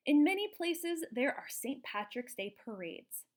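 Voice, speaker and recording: A woman reading a picture book aloud to young children in an expressive, explanatory way, like a teacher. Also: sits high, measured pace. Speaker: children's storybook reader, teacher.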